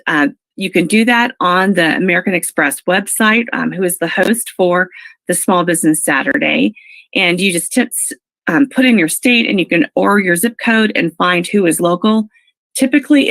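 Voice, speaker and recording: Woman, webinar presenter, froggy with allergies, gravelly fry, dry recording, instructive. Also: on the low side, fairly bright tone, no room sound. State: froggy from allergies, clearing throat.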